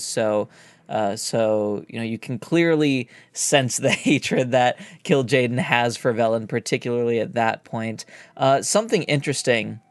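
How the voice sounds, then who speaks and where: A man's voice: medium pitch, clean tone, no echo. A man hosting a gaming video, giving informal lore commentary in a hesitant, explanatory way, as if thinking aloud. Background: background music.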